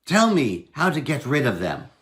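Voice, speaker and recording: A man's voice: medium pitch, wildly swooping pitch, normal speed, a bit bright, voice pushed up. A man playing a bold, wry, unafraid trickster hero in a storybook reading. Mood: confident.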